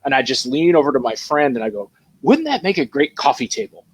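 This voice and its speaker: A man's voice: mid-range voice, sing-song, fairly quick, noisy background. A man chatting casually about films as a panelist, in an informal conversational style.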